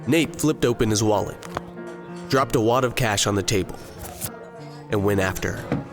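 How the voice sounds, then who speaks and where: A man's voice: lowish voice, normal speed. A man reading scripted narration for an audio drama. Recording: guitar music behind the voice. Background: guitar music.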